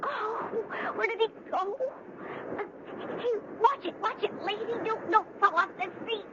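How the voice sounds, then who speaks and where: High-voiced guarded, streetwise young woman. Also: measured pace. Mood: panicky. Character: guarded, slangy, tough young woman, easily flustered. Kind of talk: radio drama acting. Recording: thin phone-like sound, heavy noise.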